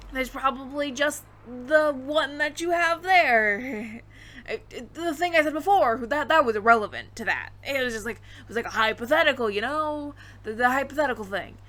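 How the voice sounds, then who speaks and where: Woman acting a flustered, bright-voiced secretive roommate. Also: high-pitched, expressive, moderate pace. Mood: flustered, pleading. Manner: stammering, flustered, over-explaining. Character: nervous, evasive alien posing as a friendly roommate. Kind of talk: audio roleplay acting, addressed to listener.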